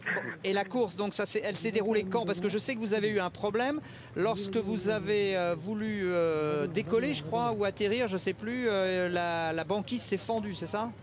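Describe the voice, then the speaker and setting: Chatty high-voiced male radio host. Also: moderate pace, a crowd in the background. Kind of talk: studio interview.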